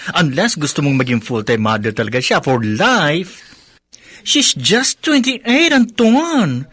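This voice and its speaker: A nosy, opinionated friend in a radio drama. His voice is fairly high and very animated, dry in sound, and he slips into English phrases as he pushes his views. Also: no echo, full character voice.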